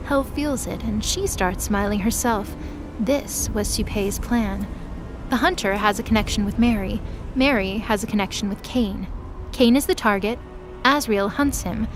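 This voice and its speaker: A woman reading scripted, dramatic story narration as the narrator of an audio drama. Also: a little high. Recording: clear background noise under voice.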